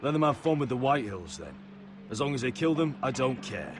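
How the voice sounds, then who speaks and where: A brash young nobleman fighter voiced by a man talks with a mid-pitched, animated voice. He is impatient and cavalier, in game drama style, with music prominent behind. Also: close to an everyday voice. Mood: impatient, defiant. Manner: brisk, cavalier. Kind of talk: dramatic video game acting.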